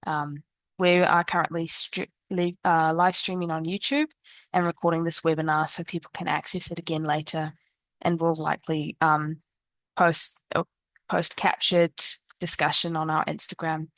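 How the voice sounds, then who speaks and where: A woman's voice: low voice, flat delivery, normal speed, a bit bright, no room sound. A woman from New Zealand facilitating a webinar panel, hesitant, with many ums and restarts.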